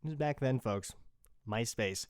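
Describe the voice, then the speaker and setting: A man's voice: medium pitch, a little slow, no echo, clean studio sound. A man in his twenties with an American accent, chatting casually to listeners as a podcast host, rambling and self-deprecating. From California, United States. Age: 20s.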